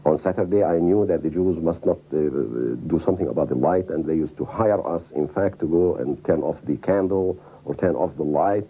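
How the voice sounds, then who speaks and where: A man's voice: low voice, normal speed, hardly any pauses, mellow, breathy edge, low-quality recording. A mature man from Palestine, recalling his life emphatically in an interview. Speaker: documentary interviewee. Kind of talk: personal recollection in interview.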